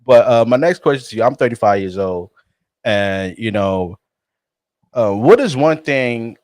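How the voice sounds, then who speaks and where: A man in his thirties hosting a podcast, relaxed and halting, often restarting his sentences. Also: mid-range voice, no echo, clean studio sound. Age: 30s. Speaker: podcast host. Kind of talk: casual podcast conversation.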